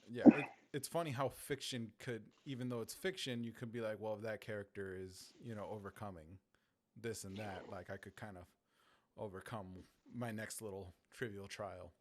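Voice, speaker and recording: A man's voice: on the low side, steady tone, moderate pace, a little mellow. A man in casual conversation as a guest, agreeable and informal in his way of talking. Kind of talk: casual chat.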